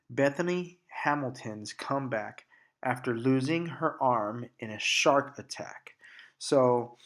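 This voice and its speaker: Congested man, candid and profane. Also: medium pitch. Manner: candid and profane, with frequent restarts and fillers. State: congested and sniffling from allergies. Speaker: podcast host. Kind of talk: casual solo podcast monologue.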